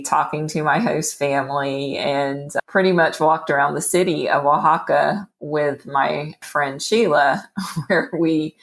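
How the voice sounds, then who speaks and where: A woman in her forties from Texas, a podcast guest telling her life story in an unhurried, thoughtful way with small laughs. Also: low-pitched. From Texas, USA. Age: 40s. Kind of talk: relaxed storytelling.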